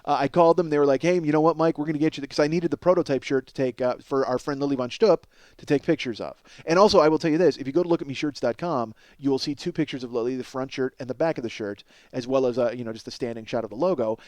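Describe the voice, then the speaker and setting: A man's voice: medium pitch, fast, hardly any pauses, darkish tone, dry recording. A middle-aged American man delivering a casual, profane comedic monologue as a podcast host, exasperated and self-mocking, addressing his listeners as folks.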